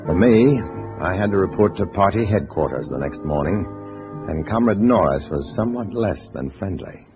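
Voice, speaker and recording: Casting: brooding undercover spy hero, deep clipped narration, old radio drama, dull sound under prominent music. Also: male voice, lively intonation, normal speed. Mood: satisfied. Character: undercover agent posing as a communist, weary, guarded narrator hero. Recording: dull vintage radio recording, loud music.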